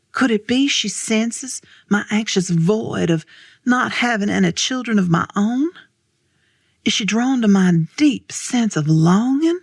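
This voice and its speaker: An actress giving a dramatic stage monologue as a pensive, wistful wife, reflective and deliberate. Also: female voice, mid-range voice, vocal fry, a little mellow, husky, dry recording, studio quality, exaggerated voice. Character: pensive childless wife, wistful and haunted narrator.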